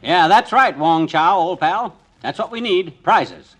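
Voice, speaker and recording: An actor playing a blustery, impatient small-town schemer of a husband in a 1950s radio comedy, talking in a colloquial, hurried way. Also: male voice, high-pitched, lively intonation, fairly bright tone, soft background music, heavily acted. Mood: wheedling, impatient. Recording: old radio recording, faint noise.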